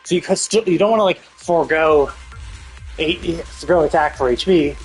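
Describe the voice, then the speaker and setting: A man's voice: fairly high pitch, a little fast, soft background music, low-quality recording. A man giving casual live game commentary, thinking aloud as he explains things, with some mild swearing. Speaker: game streamer.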